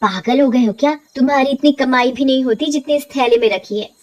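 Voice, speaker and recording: A haughty cartoon village wife, played by a woman, high in pitch. She is greedy and nags in a demanding, scolding way. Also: a little fast, darkish tone.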